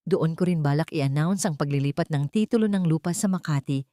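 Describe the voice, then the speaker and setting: A woman voicing a calm, wealthy young man who speaks in a measured, quiet way. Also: deep voice, no echo, studio quality, lightly acted.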